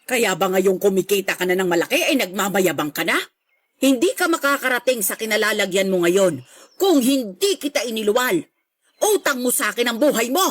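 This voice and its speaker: A woman's voice: medium pitch, expressive, brisk, no echo, close to an everyday voice. A woman playing a stern, self-righteous, guilt-tripping middle-aged mother in a radio drama, scolding and defensive. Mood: defensive, indignant. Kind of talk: emotional radio drama acting.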